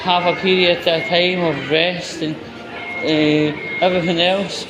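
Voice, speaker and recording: A man's voice: on the high side, fairly even intonation, takes it slowly, a crowd in the background. A man with a Scottish accent giving a blunt, sweary, casual vlog monologue while out walking. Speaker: video blogger, host. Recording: noisy outdoor walking recording, constant background noise.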